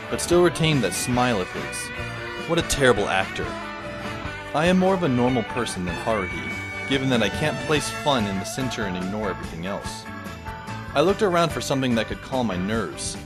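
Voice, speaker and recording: A man narrating a story in the first person with a dry, sardonic manner. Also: mid-range voice.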